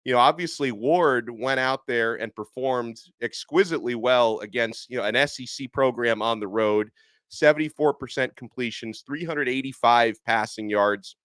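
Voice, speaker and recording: A man hosting a casual sports podcast, animated and excitable, his voice a little hoarse from yelling. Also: medium pitch, moderate pace, no echo. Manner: animated, excitable, with frequent fillers. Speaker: podcast host.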